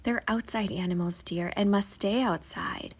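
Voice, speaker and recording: A woman reader acts out a loving mother in a bedtime storybook. She speaks with a breathy, medium-pitched voice, gently reassuring her young son. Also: normal speed. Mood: surprised, firm.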